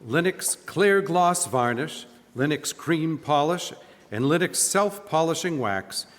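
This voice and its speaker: A man's voice: fairly high pitch, animated, takes it slowly. A man playing an old-time radio announcer, delivering scripted sponsor copy and dramatic narration in 1940s style. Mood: salesmanlike. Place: church, live stage performance. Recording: live in echoey hall.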